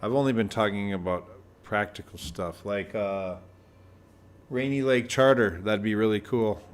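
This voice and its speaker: Co-commentator, male, lowish soft voice, chatty and friendly banter, faint hum behind. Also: a little slow. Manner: chatty, laughs easily, soft-spoken. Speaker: club owner and co-commentator. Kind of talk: live pool match commentary.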